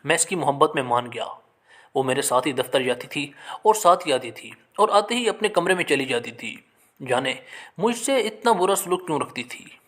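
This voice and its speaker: A man's voice: fairly high pitch, expressive, normal speed, fairly bright tone. A man narrating a scripted first-person story in a dramatic, emotional manner.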